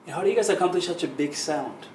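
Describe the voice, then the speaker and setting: A man's voice: a little high, quick pace, a little mellow. A man interviewing a band, asking rambling questions and repeating himself. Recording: echoey room, faint noise.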